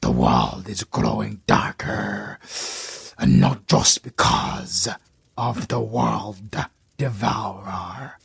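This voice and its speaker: A British man playing a solemn, kindly old village elder, speaking in a hushed, raspy, old-fashioned way. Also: lowish voice, expressive, very slow, exaggerated voice. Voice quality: hushed, raspy, archaic speech. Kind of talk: audio roleplay acting.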